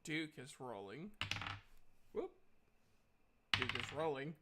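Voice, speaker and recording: A man's voice: medium pitch, sing-song, slow, very noisy. A man running a tabletop roleplaying game as the game master, talking with the players.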